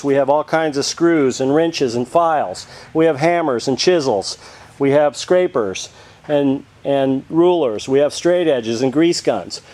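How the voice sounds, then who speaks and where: A man's voice: on the high side, a little mellow. Man rattling off an auction list. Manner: rattles off long lists of items. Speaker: auctioneer promoting a sale. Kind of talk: walk-through sales promo. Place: indoor auction warehouse.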